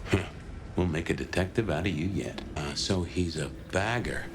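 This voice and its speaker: A wry, casual vampire detective in a video game. His voice is deep and dryly sardonic, over clearly audible background noise. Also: animated, moderate pace. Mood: musing. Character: wry, laid-back male vampire detective companion. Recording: clear ambient noise behind.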